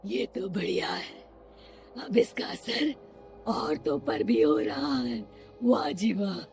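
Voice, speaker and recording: A woman voicing a wicked, gloating witch in a creaky, partly whispered voice for a children's horror story. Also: mid-range voice, big pitch swings, darkish tone, soft background music, heavily acted. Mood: smug. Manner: slow, gloating, drawn-out delivery.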